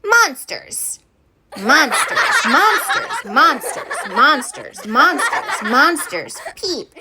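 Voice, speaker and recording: A woman's voice: big pitch swings, very slow, very noisy, heavily acted. A woman voicing tiny, cute, playful puff creatures in a picture book, chirping single words over and over. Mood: teasing. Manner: squeaky, repetitive chirping.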